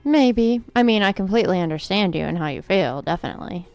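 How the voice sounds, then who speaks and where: A woman's voice: mid-range voice, animated, quick pace, a little mellow, no echo, soft background music. A woman co-hosting a podcast in casual chat, easygoing and hesitant, often hedging her views. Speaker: podcast co-host.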